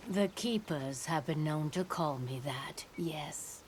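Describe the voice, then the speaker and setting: An old, serene female sage in a fantasy game. She speaks very slowly in a low voice, solemn and all-knowing, over faint ambience. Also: darkish tone, slight background noise. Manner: measured, solemn. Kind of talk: video game cutscene acting.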